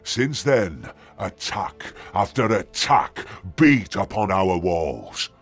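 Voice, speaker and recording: An actor playing a weary, grieving old lord in a fantasy video game, with a gravelly, husky voice and slow, imploring delivery. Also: male voice, low-pitched, very animated, soft background music, exaggerated voice. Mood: grave, despairing. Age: older. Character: weary old male lord grieving his slain son. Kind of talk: dramatic video game acting.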